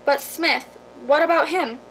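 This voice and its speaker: A woman's voice: unhurried, fairly bright tone, low-quality recording. A woman playing a worried lady who presses for answers in a radio drama, earnest and insistent. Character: worried, insistent woman questioning an injured man. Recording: faint rumbling background noise.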